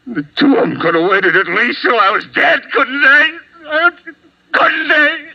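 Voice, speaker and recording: An actor playing a hot-tempered, jealous and desperate husband on the run, intense and menacing or pleading, in 1950s radio drama style. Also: male voice, very high voice, a little slow, pushed and bright, exaggerated voice. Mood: anguished. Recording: dull, lo-fi old radio recording.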